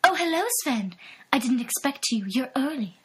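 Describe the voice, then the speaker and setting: A woman voicing a lovestruck, melodramatic housewife in a parody romance, with a breathy, overwrought, swooning delivery. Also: high voice, lively intonation, normal speed, slight background noise, voice pushed up. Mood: coy.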